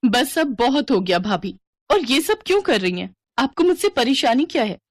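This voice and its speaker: A woman's voice: a little high, sing-song, moderate pace, breathy edge, no room sound, studio quality, pitched above the performer's own voice. Woman acting an aggrieved young bride. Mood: fed up. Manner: plaintive, protesting. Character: young rich bride, aggrieved but reasoning sister-in-law. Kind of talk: Hindi storytelling drama.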